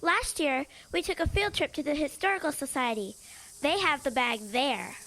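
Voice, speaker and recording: A woman's voice: high-pitched, expressive, moderate pace, fairly bright tone. A young female performer playing a sweet, naive, easily scared little girl in an audio drama. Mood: earnest, puzzled. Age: child. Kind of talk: youth audio drama acting.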